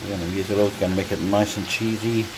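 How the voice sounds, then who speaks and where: A man casually narrating his home cooking, relaxed and chatty with frequent ums, filmed on a phone over the stove. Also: on the low side, fast talker. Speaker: home cook vlogger. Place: home kitchen. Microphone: phone camera held over the stove. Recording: noisy home kitchen phone recording. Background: sizzling and bubbling pots.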